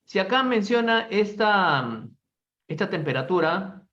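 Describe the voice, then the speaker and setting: Brisk male English teacher, lo-fi call. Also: high voice, big pitch swings, a bit bright. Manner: brisk, quizzing students in Spanish. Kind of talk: online class, calling on students.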